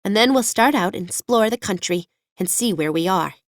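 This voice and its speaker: A woman's voice: mid-range voice, expressive, moderate pace, dry recording, natural voice. A chatty, plucky little girl from a storybook, acted by a woman. She talks earnestly and confidently, with folksy childlike turns of phrase. The recording is dry and close. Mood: chatty, sure. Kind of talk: audiobook character voice.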